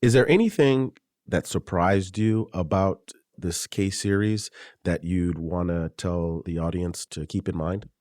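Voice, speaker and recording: Host, male, very low voice, measured and thoughtful questioning. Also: darkish tone, no room sound, clean studio sound. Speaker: podcast host. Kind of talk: interviewer asking questions.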